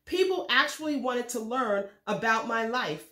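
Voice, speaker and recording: A woman narrates a children's picture book in a fairly high voice. Her phrasing is measured and expressive, as in a read-aloud for young listeners. The recording has a clearly echoey, roomy sound. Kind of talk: scripted storybook narration for children.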